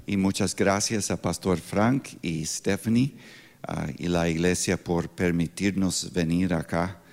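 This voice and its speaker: A man in his sixties with an American accent, a non-native speaker with English as first language, preaching informally as a missionary in halting speech with grammatical slips. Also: low-pitched, steady tone, creaky, darkish tone. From Pittsburgh, United States. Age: 60s. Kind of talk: sermon with informal introduction.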